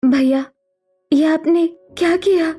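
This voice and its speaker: A woman's voice: high voice, takes it slowly, mellow. A woman voicing a meek, wronged young daughter who pleads plaintively in a melodramatic cartoon story. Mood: upset. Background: music.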